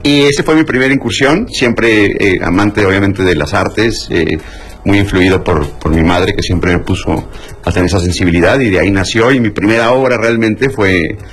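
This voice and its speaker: A middle-aged Mexican man is a guest on a radio interview. His voice is very deep, and he halts and restarts his sentences as he looks for words. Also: breathy edge. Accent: Mexican Spanish. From Mexico City. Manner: hesitant, halting with many restarts.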